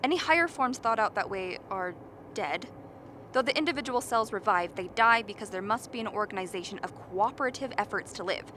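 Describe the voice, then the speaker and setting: A woman's voice: mid-range voice, lively intonation, a little fast, sharp tone, a little hiss or hum. A woman playing an earnest, impatient biologist in a radio drama, lecturing and argumentative. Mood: insistent, lecturing. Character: earnest, impatient expedition biologist defending a frozen creature. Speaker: actor in a radio play.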